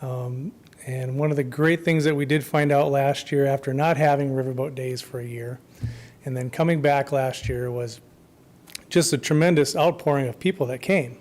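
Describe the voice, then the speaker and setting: A man speaking as a festival co-chair before a city commission, his voice going hoarse, informal with many ums, trailing off quietly at the ends of phrases. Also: mid-range voice, fairly even intonation, normal speed. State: losing his voice. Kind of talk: public comment at a council meeting. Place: council chamber.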